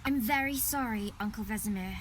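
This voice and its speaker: A woman's voice: fairly high pitch, fairly quick, slight background noise, natural voice. An actress playing a spirited, mischievous young girl training as a witcher in a fantasy game, cheeky and bookish. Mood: contrite, sly. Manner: cheeky, pleading, recites bookishly. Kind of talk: cinematic fantasy game acting.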